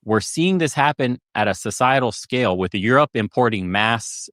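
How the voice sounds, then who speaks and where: A man in a played podcast clip, giving opinionated commentary in a measured, lecturing way. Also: mid-range voice, expressive, a bit bright, no room sound, clean studio sound.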